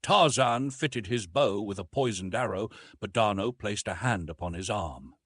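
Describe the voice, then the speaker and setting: Expressive, slightly husky male narrator. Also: on the low side, moderate pace, no echo. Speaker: audiobook narrator. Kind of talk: expressive scripted narration of an adventure novel.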